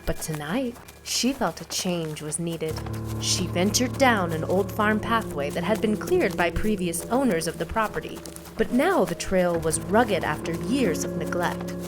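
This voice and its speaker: A woman's voice: fairly low pitch, expressive, unhurried, over music. A woman reading a scripted suspense story as its narrator.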